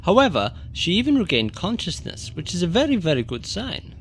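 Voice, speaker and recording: A fatherly rabbit physician voiced by a man for an audio drama. The delivery is theatrical and emphatic, high for a man, with huge pitch swings over faint background noise. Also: wildly swooping pitch, fairly quick, full character voice. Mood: reassuring, grave. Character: avuncular male rabbit doctor, grandly reassuring.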